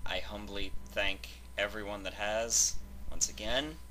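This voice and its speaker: Bright-voiced man hosting casually. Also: lowish voice, fairly even intonation, measured pace, slight background noise. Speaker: host. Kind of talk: casual sign-off chat.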